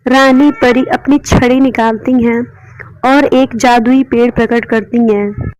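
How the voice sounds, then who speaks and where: A woman's voice: a little high, steady tone, slow pace, darkish tone. A woman narrating scripted children's stories, with muffled, dull sound.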